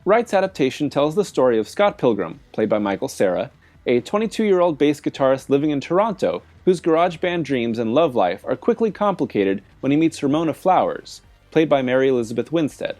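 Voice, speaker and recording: Man narrating a video essay, lively intonation. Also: mid-range voice, brisk. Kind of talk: scripted film essay narration. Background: background music.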